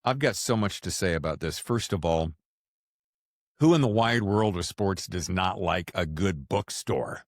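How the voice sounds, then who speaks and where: A man's voice: low voice, unhurried, no echo, clean studio sound. An American man hosting a podcast, talking in an effusive, jokey way. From United States. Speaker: podcast host. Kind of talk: scripted intro and casual interview chat.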